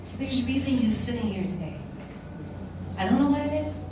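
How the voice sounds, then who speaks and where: A woman's voice: fairly high pitch, expressive, fairly quick. A woman in her sixties with a Taiwanese accent gives a motivational talk to a live audience, conversational and often checking in with questions. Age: 60s. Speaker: motivational speaker at a direct-sales event. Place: indoor meeting room with a live audience. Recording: echoey room, distant mic, clear noise.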